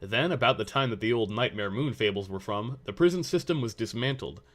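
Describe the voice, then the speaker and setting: A man's voice: on the low side, lively intonation, brisk, fairly bright tone. A man narrating a scripted story as its reader.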